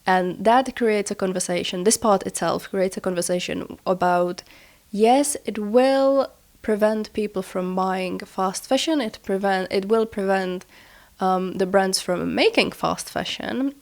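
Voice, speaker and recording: A woman's voice: medium pitch, unhurried. A woman hosting a podcast in a casual, explanatory style while she has a cold, informal and often rewording herself as she goes. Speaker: podcast host.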